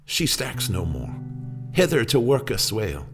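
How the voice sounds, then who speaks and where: A man's voice: medium pitch, sing-song, unhurried, fairly bright tone, pitched above the performer's own voice. A man voicing a haunted, tormented old sailor in a dramatic poem, crying out theatrically with fervour. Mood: fervent, desperate. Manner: theatrical, crying out, swooping upward. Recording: music under the voice.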